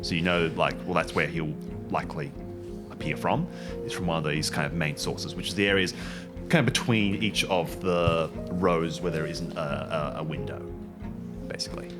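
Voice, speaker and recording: A man improvising narration as game master of a tabletop game, in a hesitant, halting way. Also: a bass voice, over loud music.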